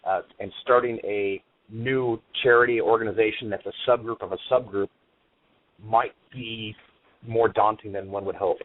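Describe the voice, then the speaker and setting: Guest: a low-voiced man, measured and practical, on a dry phone line. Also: steady tone, no room sound. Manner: measured, matter-of-fact advice. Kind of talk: phone interview.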